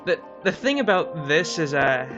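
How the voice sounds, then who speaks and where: A co-host gives relaxed, chatty game commentary in a halting way, often stumbling into sentences. The recording is dull and lo-fi, and game music plays clearly behind the voice. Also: mid-range voice. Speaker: let's play co-host. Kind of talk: casual gaming commentary.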